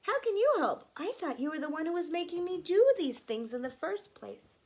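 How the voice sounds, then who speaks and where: A woman's voice: very high-pitched, very animated, moderate pace, darkish tone, voice pushed up, full character voice. A woman voicing an earnest, curious little boy in a picture book. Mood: puzzled.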